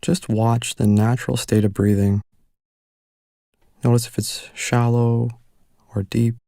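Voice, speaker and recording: A man leading a guided meditation, giving calm, gentle instructions with unhurried pauses. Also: medium pitch, vocal fry, no echo, clean studio sound.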